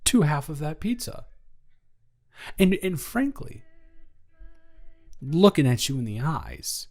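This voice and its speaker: A man playing an awkward, affectionate high school boy in a romantic audio roleplay, speaking in a rambling, stammering way. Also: big pitch swings, a little mellow, full character voice. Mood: playful, indignant. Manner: halting, rambling, stammering, self-deprecating.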